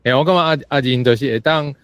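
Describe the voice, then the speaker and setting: A man's voice: mid-range voice, quick pace, fairly bright tone, clear, resonant tone, dry recording. A man with a Taiwanese accent casually sharing his response to a book, chuckling as he talks and sounding appreciative. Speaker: reading-club panelist.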